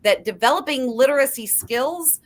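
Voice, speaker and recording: A woman's voice: sits high, expressive, normal speed. Earnest woman explaining literacy research. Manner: earnest, explanatory, restarts her sentences. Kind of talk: panel discussion.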